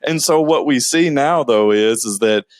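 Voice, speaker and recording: A middle-aged man, co-hosting a casual family talk show in a folksy, halting, self-deprecating way. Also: mid-range voice, no echo. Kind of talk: casual conversational chat.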